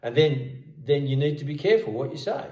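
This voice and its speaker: A man's voice: a little high, animated, brisk, warm tone. A man tutoring English in a casual chat, patient, often repeating and restarting his words. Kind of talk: casual one-on-one language lesson. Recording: roomy, distant from the mic.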